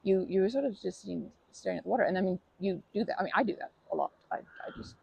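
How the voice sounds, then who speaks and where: A woman performs a timid, gentle park regular offering comfort in an intimate ASMR roleplay. The voice is soft and dark-toned, husky and full of gravelly creak. The delivery is hesitant, with stammers and nervous self-corrections throughout. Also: medium pitch, fast talker, dull audio. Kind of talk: ASMR roleplay, improvised-sounding intimate monologue.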